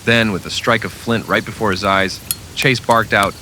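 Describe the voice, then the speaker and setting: A man's voice: lowish voice, fairly even intonation, moderate pace, bright, forceful tone, slight background noise. A man narrating a scripted old-time western radio drama with dramatic storytelling delivery.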